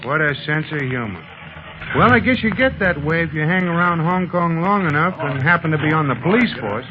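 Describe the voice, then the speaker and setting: A brash pilot sidekick played by a man talks in blustery homespun slang. His voice sits a little high for a man. The old radio recording is dull and muffled. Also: animated, brisk, noisy background, close to an everyday voice. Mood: gruff. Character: brash folksy adult male pilot sidekick. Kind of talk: 1930s radio acting.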